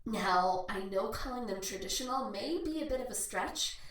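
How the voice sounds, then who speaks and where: A woman's voice: medium pitch, expressive, normal speed, a bit bright, lightly acted. A woman playing a young heiress giving a heartfelt, wry speech at a formal party in an audio drama. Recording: echoey hall PA, distant, faint music.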